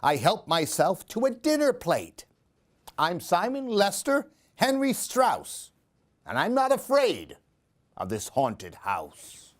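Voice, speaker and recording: A male storyteller reads for children in a high voice that swings widely in pitch. He takes his time, giving the rhymes a theatrical, singsong lilt. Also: huge pitch swings, slow. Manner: theatrical, singsong rhyming delivery. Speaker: storyteller reading aloud. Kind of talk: expressive children's story reading.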